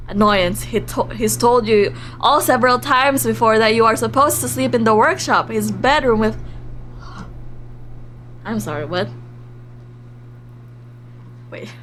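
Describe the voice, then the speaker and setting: A woman game streamer with a fairly high voice and a very slow, unhurried delivery. She moves between reading story text and reacting to it in an animated, expressive way. There is faint noise in the background. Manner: animated, reactive, giggly, drops into hushed narration. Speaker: streamer playing a visual novel live. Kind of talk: livestream game commentary mixed with reading story text aloud.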